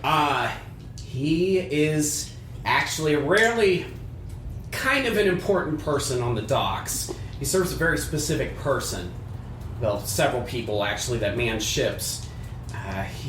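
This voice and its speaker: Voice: mid-range voice, animated, a little slow, fairly bright tone. A player in a tabletop role-playing game, improvising casually in character with a cheeky, brash manner. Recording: roomy group recording with noise.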